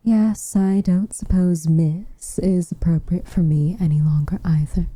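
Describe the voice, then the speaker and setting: Woman playing a low, whispering, reserved witch. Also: steady tone, no room sound. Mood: gentle, tentative. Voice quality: soft, breathy whisper. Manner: measured, formal, hesitant phrasing. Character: reserved tsundere witch, guarded but tenderly affectionate. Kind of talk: whispered ASMR roleplay.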